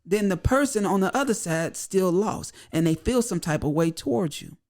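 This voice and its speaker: High-voiced man, blunt and emphatic. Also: lively intonation, normal speed, a little mellow. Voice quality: high-pitched voice. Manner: blunt, repeats his points for emphasis. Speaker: guest. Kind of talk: casual podcast conversation.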